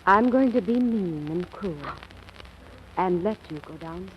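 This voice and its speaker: A woman playing a firm but soft-hearted mother of a teenager, measured and admonishing, in a 1940s radio drama. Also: lowish voice, lively intonation. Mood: gentle, reasoning. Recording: muffled, slightly noisy old radio recording.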